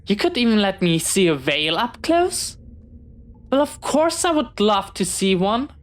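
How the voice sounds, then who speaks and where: Woman acting as slow-paced, bookish young pirate. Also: medium pitch, big pitch swings. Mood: excited, hopeful. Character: young pirate captain's child, bookish, eager, friendly. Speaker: audio roleplay performer. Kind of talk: one-sided audio roleplay acting.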